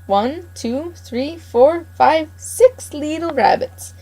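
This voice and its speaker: Woman putting on a greedy old gardener man, gloating, slow drawn-out counting, raised voice, storybook villain. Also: sits high, lively intonation, mellow, voice pushed up, full character voice.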